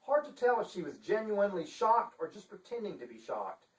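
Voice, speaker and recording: A man giving a theatrical, deliberate dramatic reading as the author of a literary novel. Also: sits high, very animated. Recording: echoey room, distant microphone.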